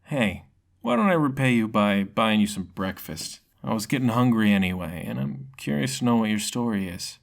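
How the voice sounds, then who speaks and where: A man's voice: on the low side, lively intonation, normal speed, vocal fry. A man voicing a young female thief in a fantasy story, wry, curious and casually teasing. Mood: friendly, curious.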